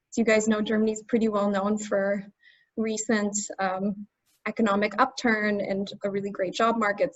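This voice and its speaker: An American woman tutoring German in a relaxed, chatty way, using casual slang. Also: medium pitch. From United States. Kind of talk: casual webinar teaching. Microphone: video call. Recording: dull lo-fi video call audio.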